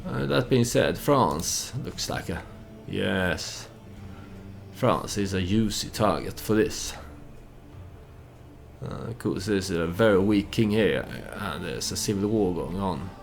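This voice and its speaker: A man giving unscripted, storytelling commentary on a game he plays, halting as he thinks aloud and speaks for his character. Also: low voice, animated, creaky, faint music behind. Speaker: let's play host.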